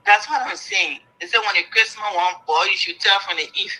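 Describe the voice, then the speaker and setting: A woman, calling in to a radio talk show and answering quickly and insistently. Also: mid-range voice, pushed and bright. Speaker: caller. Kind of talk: call-in radio chat. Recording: phone line.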